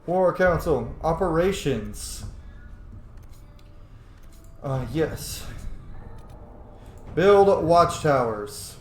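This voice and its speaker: A man's voice: fairly high pitch, animated, very slow, long pauses. Man gaming in a roomy, echoey space. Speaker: game player and host of a roleplay playthrough. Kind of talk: casual gameplay commentary, reading in-game messages aloud. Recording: roomy echo, faint background noise.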